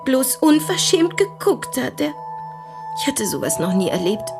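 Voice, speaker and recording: A woman acting in a German radio drama as an overwrought deaconess testifying to police, halting and agitated. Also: high voice, very animated, vocal fry, music in the background, full character voice. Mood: hushed, uneasy. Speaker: actor in a radio play.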